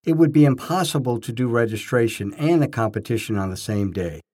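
A man's voice: medium pitch, lively intonation, a little fast, warm tone. A man reading scripted first-person narration, telling his own experiences as a narrator. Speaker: narrator, bodybuilding judge.

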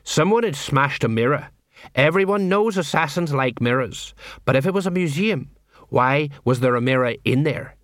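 A man's voice: a little high, expressive, normal speed, no room sound, natural voice. Man playing earnest polite young corporal, eager, close dry sound.

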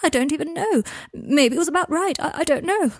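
A woman's voice: sits high, lively intonation, fairly quick, dry recording, voice pushed up. A woman voicing a heartbroken, proud young man who is hurt and bitter, his voice rising and close to tears. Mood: anguished.

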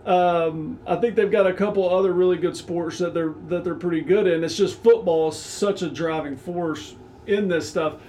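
Halting high-voiced man in his forties. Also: steady tone, warm tone, slight background noise. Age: 40s. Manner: halting, lots of repeated fillers and restarts. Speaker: co-host. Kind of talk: casual sports chat.

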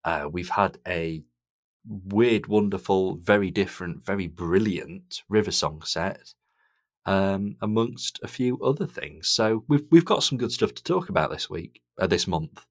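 A man's voice: deep voice, a little slow, no room sound, studio quality. A man hosting a podcast, chatting casually and enthusiastically as he gives his reviews. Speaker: podcast host.

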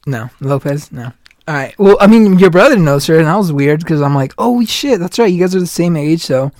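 A man's voice: fairly high pitch, lively intonation, brisk, a little mellow, dry recording, studio quality. A man in his late twenties hosting a casual podcast chat, rambling along with lots of "like" and retold quotes. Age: 20s. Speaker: podcast host.

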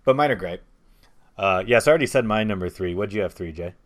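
A man's voice: fairly low pitch, expressive, quick pace. A man hosting a podcast, talking casually about movies in a discussion with others. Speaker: podcast host.

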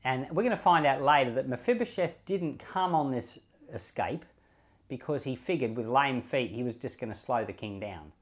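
A man reading scripture and giving informal devotional commentary in a measured, deliberate way, leaning on key words. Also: mid-range voice, animated. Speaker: Bible reader and pastor giving commentary.

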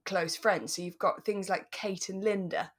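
A woman's voice: mid-range voice, steady tone, moderate pace, breathy edge. A British woman chatting casually and enthusiastically as a podcast co-host.

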